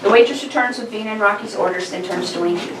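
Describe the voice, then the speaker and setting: A woman's voice: mid-range voice, fast talker, very noisy. A woman reading stage directions as the narrator at an informal table read. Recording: distant echoey room mic, chatter behind.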